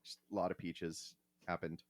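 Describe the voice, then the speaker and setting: A man's voice: deep voice, flat delivery, moderate pace, no room sound. A man co-hosting a casual podcast, talking in a joking, wry way. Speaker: podcast co-host.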